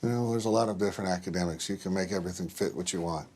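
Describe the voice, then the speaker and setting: A man's voice: deep voice, rapid-fire, a little mellow. A man answering interview questions as a school superintendent, with blunt, folksy phrasing.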